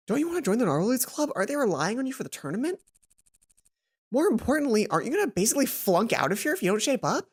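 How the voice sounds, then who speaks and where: Woman acting a high-pitched, scolding roommate girl. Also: husky. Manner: emphatic, exasperated scolding. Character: furious, scolding young female roommate, bossy but caring. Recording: music behind the voice.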